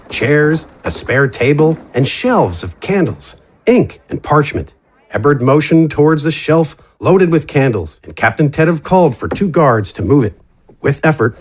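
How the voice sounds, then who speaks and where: A male narrator reads a scripted fantasy story. His pitch sits in the middle range for a man, and the delivery is dramatic storytelling. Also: slight background noise.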